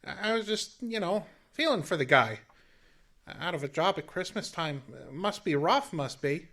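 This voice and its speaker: An actor playing a naive, eager, big-hearted sidekick in a comic noir radio drama, earnest and stammering with folksy slang. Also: male voice, a little high, expressive, moderate pace, pushed and bright, heavily acted. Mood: sheepish.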